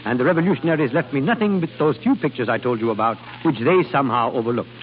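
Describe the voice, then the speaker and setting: Actor as urbane, stern middle-aged duke: brisk, animated delivery, muffled and noisy vintage radio recording. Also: male voice, medium pitch, close to an everyday voice. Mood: businesslike. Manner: clipped, formal, stern, urbane. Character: middle-aged penniless duke, brisk, businesslike, secretly fond. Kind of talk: 1940s radio drama acting.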